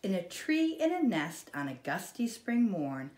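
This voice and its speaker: A middle-aged American woman reads a children's picture book aloud in a lively, swooping voice. She takes her time, stretching words for drama and dropping into hushed asides. The sound is echoey, from a home room. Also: mid-range voice. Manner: slow, drawn-out dramatic emphasis, hushed asides. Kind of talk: expressive children's storybook reading. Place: living room, indoors. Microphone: camera a short distance away.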